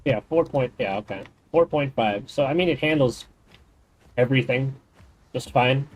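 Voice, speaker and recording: A man's voice: medium pitch, moderate pace. A man streaming a game, chatting casually and explaining the game's mechanics in detail. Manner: rambling, thinks aloud. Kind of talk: casual gaming chat.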